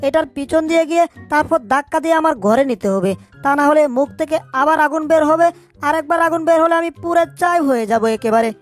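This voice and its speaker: A high cartoon voice for a small, clever male mouse performed by a woman. He frets aloud and exclaims anxiously as he schemes, with faint music underneath. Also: quick pace, close to an everyday voice. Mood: anxious, scheming. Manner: exclamatory, fretting to himself. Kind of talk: Bengali cartoon dub acting.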